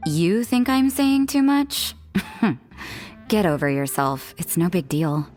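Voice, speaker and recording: Game casting: a blunt, unbothered young nun with a low voice. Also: female voice, takes it slowly, darkish tone. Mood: dry. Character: cool, aloof young nun who drinks. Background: faint background music.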